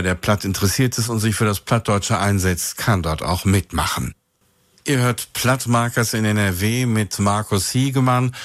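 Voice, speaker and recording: A man hosting a radio show, narrating clearly and evenly from a script. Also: on the low side, no room sound. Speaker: radio host.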